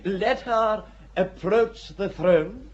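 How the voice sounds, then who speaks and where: An actor playing an impudent nobleman nicknamed Bluebeard who speaks with a slow, mocking drawl. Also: high voice. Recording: dull audio with faint hiss.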